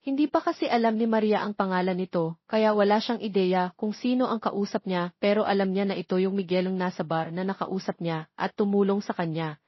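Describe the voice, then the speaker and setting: A woman's voice: medium pitch, a little fast, dry recording. Woman narrating romance, dull dry sound. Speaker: audiobook narrator. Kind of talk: scripted romance story narration. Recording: dull, muffled lo-fi audio.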